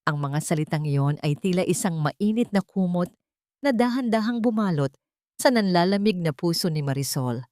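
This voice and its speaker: A woman narrates a dramatic audiobook in a fairly low voice. Her intonation moves widely as she tells the story, and the recording is close and dry, with very little room sound. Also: animated, moderate pace, a little mellow, no echo.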